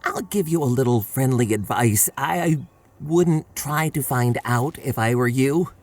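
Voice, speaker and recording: A man playing an evasive, quietly ominous astronomer in an audio drama, hesitant and stammering. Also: medium pitch, animated, a little slow. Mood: quietly menacing.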